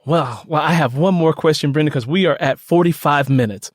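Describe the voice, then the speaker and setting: A man hosting a conversational podcast interview, speaking reflectively and deliberately as he builds up to his questions. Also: fairly high pitch, no echo, studio quality. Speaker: podcast host, writer.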